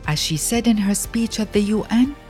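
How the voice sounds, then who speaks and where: A woman reading a scripted storybook biography as narrator, in a measured, expressive way with dramatic pauses. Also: medium pitch, soft background music.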